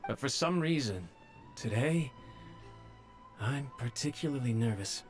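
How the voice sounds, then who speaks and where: A man's voice: mid-range voice, lively intonation, a little slow, over music. An actor playing a nervous young male servant who stammers and speaks humbly. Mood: worried.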